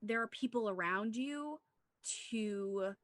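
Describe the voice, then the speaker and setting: A woman's voice: mid-range voice, slow pace, a bit bright, no echo, studio quality. A woman co-hosting a casual movie discussion, talking in an earnest, rambling way with plenty of "like". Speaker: podcast co-host.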